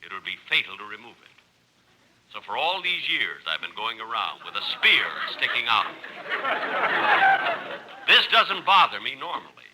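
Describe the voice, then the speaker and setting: A man's voice: medium pitch, big pitch swings, normal speed, long pauses, natural voice. An actor playing an earnest adventurer narrating his own jungle tale in 1950s radio comedy style. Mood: dramatic, deadpan. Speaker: storyteller. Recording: old radio recording, faint noise.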